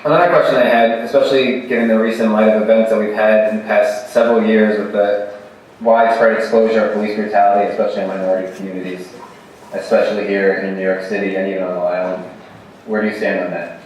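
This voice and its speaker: A man's voice: on the low side, little pitch movement, fast, darkish tone, slight background noise. A young man, a student, asks a candidate questions in a casual, friendly way. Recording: echoey dull hall recording from far off.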